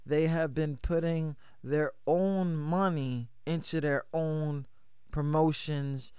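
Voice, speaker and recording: A man hosting a casual hip-hop radio interview, with a high voice and a loose, hyped manner full of shout-outs. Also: fairly even intonation, takes it slowly, clear, resonant tone, no echo, studio quality. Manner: loose, hype, lots of shout-outs and fillers. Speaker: radio show host.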